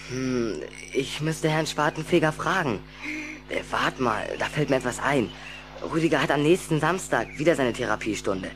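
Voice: medium pitch, lively intonation, normal speed, music in the background, close to an everyday voice. A performer playing an earnest, hesitant young schoolboy in a children's audio drama, patiently explaining and reassuring.

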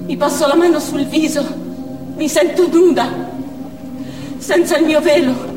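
A woman acting a desperate, resolved young female martyr in a theatrical stage monologue, with slow, declamatory phrasing. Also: high-pitched, distant mic, over loud music. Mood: grim resolve. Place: theatre stage, picked up from a distance. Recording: echoey theatre hall from afar, loud noise.

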